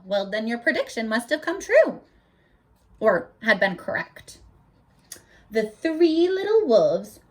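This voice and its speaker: A teacher reading a picture book aloud to children, animated and stopping often to ask them questions. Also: female voice, high-pitched.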